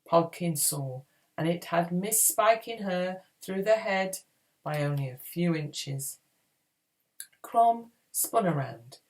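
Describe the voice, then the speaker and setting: A woman reading a children's adventure book aloud in a dramatic, expressive storytelling style. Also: fairly low pitch. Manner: expressive, acts out dialogue. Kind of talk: dramatic read-aloud narration.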